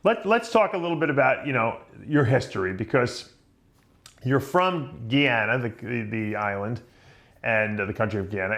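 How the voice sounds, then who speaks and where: A man's voice: mid-range voice, a bit bright. A middle-aged man from New York hosting an interview, chatty and easygoing, with frequent restarts. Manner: chatty, many restarts, laughs easily. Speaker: interview host. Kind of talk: casual interview chat.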